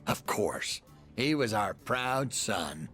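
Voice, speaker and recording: A man's voice: fairly low pitch, big pitch swings, slow pace, fairly bright tone, faint music behind, full character voice. An actor voicing a spirited old shopkeeper and proud father in a video game. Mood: proud, tender. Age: older.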